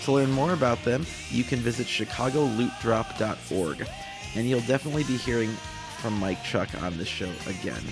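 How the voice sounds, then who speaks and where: A man's voice: medium pitch, fairly quick, over music. A man hosting a podcast, reading an introduction and announcements. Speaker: podcast host. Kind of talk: scripted-sounding podcast introduction.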